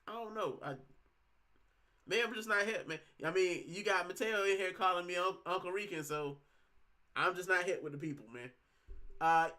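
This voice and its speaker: A man's voice: high-pitched, bright, forceful tone. A man in his thirties from Puerto Rico, hosting a casual livestream at home, rambling in slang and reading out chat comments. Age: 30s. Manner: chatty, rambling, slangy, many fillers. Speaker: livestream host.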